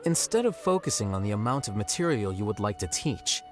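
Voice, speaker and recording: A blunt young male scholar in a video game. His voice is fairly low and detached in manner, yet varied in inflection. Faint music plays underneath. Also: sing-song, fairly quick. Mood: matter-of-fact. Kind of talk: video game dialogue acting.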